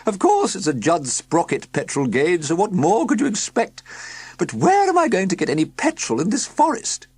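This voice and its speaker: A chattering, fussy eccentric aunt with a shrill voice pitched far up, as a husky-voiced reader puts her on, swinging wildly in tone. She grumbles and rattles on like an audiobook character in a children's story. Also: big pitch swings, normal speed, darkish tone, no room sound. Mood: grumbling chatter. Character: cranky eccentric aunt, middle-aged woman, grumbling.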